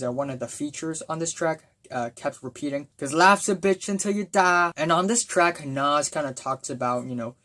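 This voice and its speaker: A young man with a voice gone raspy from talking, giving a casual, excitable album review full of fillers and bursts of enthusiasm. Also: mid-range voice. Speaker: music reviewer.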